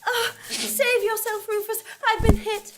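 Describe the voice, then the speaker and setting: A woman's voice: extremely high pitch, little pitch movement, unhurried, background noise. A woman playing a sweet, sympathetic lady adventurer in a live comic audio drama, polite and theatrical. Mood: faintly dying.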